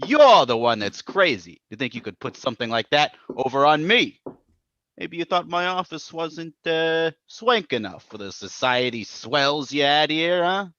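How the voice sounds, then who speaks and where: An actor playing a blustering, angry crooked stockbroker in a live old-time radio drama. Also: male voice, on the high side, animated, normal speed, a bit bright, no echo, lo-fi sound. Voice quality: blustering, tough-talking. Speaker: stage actor in radio play.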